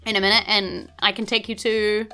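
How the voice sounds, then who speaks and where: Woman playing a bright, brisk, exasperated nurse. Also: mid-range voice, little pitch movement, clean tone, soft background music. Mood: helpful. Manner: helpful, matter-of-fact. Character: kindly, brisk, slightly exasperated female nurse.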